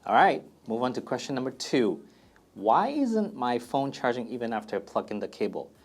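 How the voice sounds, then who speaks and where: A man's voice: medium pitch, very animated, moderate pace, a little hiss or hum. A man presenting a product FAQ as a company presenter, explaining things plainly and step by step in a casual demonstration style.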